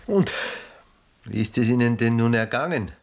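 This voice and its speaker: An actor playing an urbane, ironic, detached middle-aged gentleman in a mid-century German radio play, speaking in a polished, wry, measured way. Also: mid-range voice, expressive, gravelly. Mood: probing, polite.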